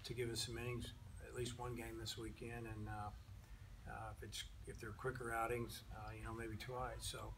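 A man's voice: mid-range voice, flat delivery, normal speed. A man coaching a college baseball team, answering reporters after a game in a plain-spoken way, with a lot of "you know" fillers. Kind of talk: postgame press conference answers. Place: outdoor press area with sponsor backdrop. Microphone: table mics, recorded from a distance. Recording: distant mic with outdoor noise.

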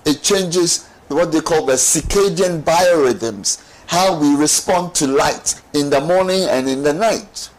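A middle-aged man, explaining as a talk-show guest in emphatic, deliberate phrases. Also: a little high, low-quality recording. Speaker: studio guest. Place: TV studio lounge set. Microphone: lapel mic.

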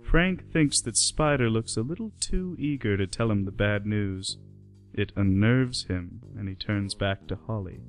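A man's voice: lowish voice, a little slow, faint music behind. A man narrating a radio play in scripted storytelling style.

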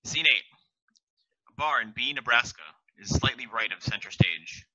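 A young man reading stage directions aloud in a plain, steady way on a headset. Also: mid-range voice, normal speed, no room sound, lo-fi sound.

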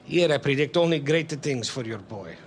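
Middle-aged man, European accent, acted: gracious club official, mid-pitched, careful non-native English. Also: lively intonation, normal speed, close to an everyday voice. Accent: foreign European. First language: not English. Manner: formal, careful phrasing. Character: courteous, gracious foreign football club official. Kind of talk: video game cutscene acting.

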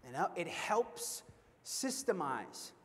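A man in his thirties delivers a lively keynote on a large convention stage and acts out the reactions he quotes. His voice sits high, and a long reverb rolls through the hall behind his headset mic. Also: takes it slowly. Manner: animated, acts out quoted reactions, repeats words when excited. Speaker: keynote speaker. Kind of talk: energetic conference keynote.

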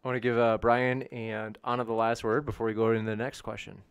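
A man moderating an online debate, briskly reading audience questions at a studio microphone. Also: medium pitch, flat delivery, smooth, dry recording, highs cut off. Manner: brisk, businesslike.

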